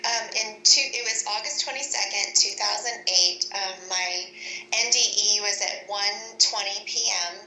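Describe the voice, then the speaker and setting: A woman with a very bright, forceful voice at middling pitch tells her story as an interview guest. She is deliberate and matter-of-fact. The room echoes around her, with faint noise underneath. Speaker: interview guest recounting a personal experience.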